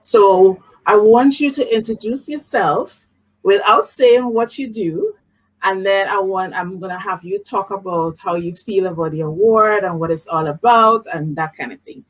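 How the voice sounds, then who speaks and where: A woman's voice: medium pitch, moderate pace, low-quality recording. A woman hosting a casual live-streamed interview, effusive and encouraging in the way she talks. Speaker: host. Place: video call live stream.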